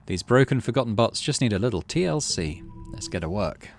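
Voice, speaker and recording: A man's voice: fairly low pitch, big pitch swings, brisk, faint music behind. A man giving casual let's play commentary, thinking aloud through his choices and reading out game text. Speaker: gaming video host.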